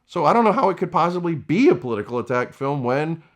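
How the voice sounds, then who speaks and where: A man giving vlog commentary with emphatic, deliberate phrasing. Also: a little high, big pitch swings.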